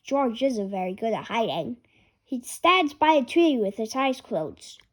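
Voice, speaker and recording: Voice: mid-range voice, sing-song, unhurried, a bit bright. A person reading a children's storybook aloud, word by word. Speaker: reader of a children's picture book.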